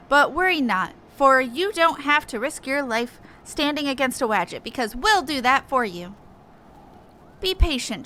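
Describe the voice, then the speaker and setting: Woman voicing a pompous, bright little moogle hero. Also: sits high, a little hiss or hum. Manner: pompous, florid heroic speech. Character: boastful little male moogle adventurer, gallant and eager helper. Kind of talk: reading game dialogue in character.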